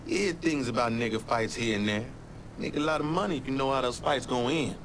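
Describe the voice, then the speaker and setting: A man's voice: medium pitch, fairly quick, fairly bright tone, lightly acted. A young boxer with a loose, streetwise way of talking , played by an actor. His delivery is laid-back and slangy. Faint ambient noise sits in the background. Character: streetwise young male boxer under pressure.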